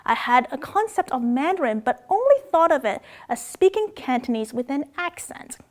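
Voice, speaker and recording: A woman from Macau, raised in Australia, hosting a scripted educational video about words and languages in an upbeat, playful way. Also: moderate pace. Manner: upbeat, playful, with excited jumps in pitch.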